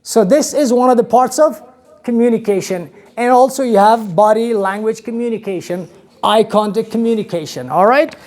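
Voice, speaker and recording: A man in his thirties from Afghanistan with an Afghan accent, giving an animated English lesson to a class in a small classroom, asking his students questions. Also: sits high, normal speed. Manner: animated, gestures widely, asks the class questions. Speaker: English teacher. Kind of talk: classroom lecture. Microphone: camera across the room.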